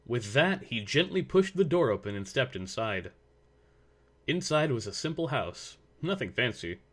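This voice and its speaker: A man's voice: mid-range voice, very animated, normal speed. A man reading scripted story narration as the narrator.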